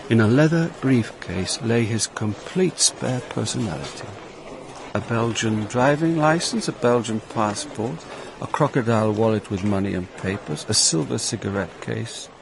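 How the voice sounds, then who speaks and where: An actor playing a shrewd, wry police inspector who narrates his case in a measured, reflective way for a radio drama. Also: mid-range voice, vocal fry, background noise. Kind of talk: radio drama first-person narration and dialogue.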